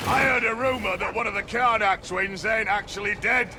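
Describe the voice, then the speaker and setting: A man's voice: high-pitched, moderate pace, natural voice. A man playing a wry, sceptical soldier in video game squad banter, casual and colloquial. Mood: wary. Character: wry, sceptical rank-and-file male soldier. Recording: loud noise behind.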